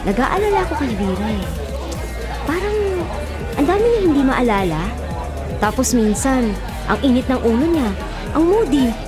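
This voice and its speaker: A woman playing a young, caring and teasing friend in a radio drama, chatty and expressive. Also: sits high, moderate pace, pauses often. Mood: teasing, concerned. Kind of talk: Tagalog radio drama acting. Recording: music and crowd behind. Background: music, crowd chatter.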